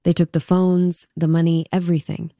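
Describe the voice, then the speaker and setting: A woman's voice: on the low side, flat delivery, normal speed, warm tone, no echo, clean studio sound. A woman interpreter giving a witness's account in the first person, plainly and steadily. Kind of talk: interpreted testimony.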